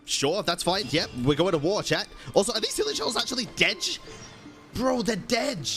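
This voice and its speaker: A man's voice: high voice, sing-song, moderate pace, a bit bright. Male streamer, casual commentary, informal reactions, chatting to viewers, game music behind.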